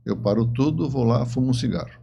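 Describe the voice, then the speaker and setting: A man with a Brazilian accent talking casually as an interview guest, explaining things haltingly and often repeating himself. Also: mid-range voice, gravelly, mellow, breathy edge, muffled recording. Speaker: podcast guest, novelist. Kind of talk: casual chat.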